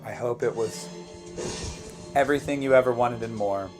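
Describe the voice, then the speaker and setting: A man in his twenties co-hosting a live movie commentary, chatting casually with viewers in a relaxed way. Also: medium pitch, normal speed, over music. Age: 20s. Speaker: co-host of a movie commentary channel.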